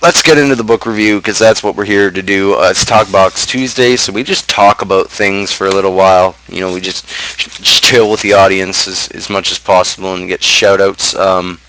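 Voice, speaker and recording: A man's voice: sits low, steady tone, normal speed, a bit bright, a little hiss or hum, rough recording. A man hosting a casual livestream chat, rambling along with self-mocking remarks. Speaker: streamer and show host.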